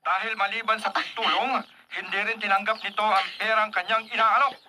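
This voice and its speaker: A man's voice: high voice, steady tone, brisk, pushed and bright, a little hiss or hum. A male actor with a Filipino accent playing an earnest news anchor reading a story within a radio drama. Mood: formal.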